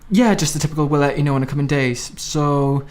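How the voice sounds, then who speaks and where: A man's voice: a little high, quick pace, a little mellow, natural voice. An actor playing an awkward, affectionate young man who drifts through life, in a naturalistic radio drama. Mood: guarded, casual. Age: young adult.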